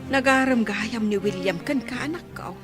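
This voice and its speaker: A woman playing a caring village mother who advises her daughter, gently probing, with an Ilocano accent, in a radio drama. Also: fairly high pitch, a little slow, music in the background, natural voice. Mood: advising.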